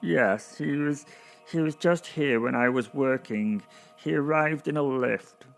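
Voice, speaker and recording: A man's voice: medium pitch, measured pace, vocal fry, soft background music, pitched above the performer's own voice. A man voicing a timid little male clerk at heaven's gate in an audio drama, hesitant and mumbling. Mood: nervous, flustered.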